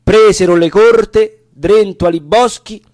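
A man's voice: high voice, takes it slowly, a little mellow, dry recording, clean studio sound. A man with a Roman accent giving a dramatic reading of dialect sonnets. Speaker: poetry reader.

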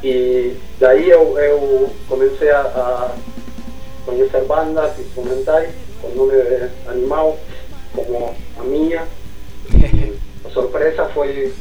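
A man born in Brazil and raised in Argentina, a musician guest speaking haltingly with many repeated words in a casual chat over an internet call. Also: medium pitch, warm tone, reverberant, highs cut off. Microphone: computer mic over internet call.

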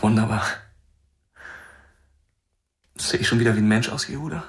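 A man's voice: on the low side, rapid-fire, natural voice. A man acting in a German radio crime drama, playing a tormented, weary young musician and addict. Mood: relieved, confessional.

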